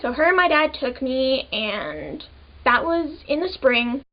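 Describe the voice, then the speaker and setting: A woman's voice: sits high, sing-song, takes it slowly, dull audio, slight background noise. A twenty-year-old woman telling her own story in a casual, chatty way, full of "like" fillers. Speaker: vlogger. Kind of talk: personal storytelling to camera.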